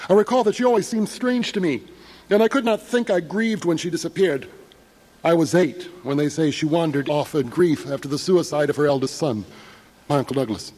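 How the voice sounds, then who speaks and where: A man's voice: on the high side, darkish tone, low-quality recording. An actor playing a haunted young man narrating a horror audio drama in an intense, literate, theatrical way. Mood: brooding. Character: haunted young male narrator, anxious, sliding into rapt madness.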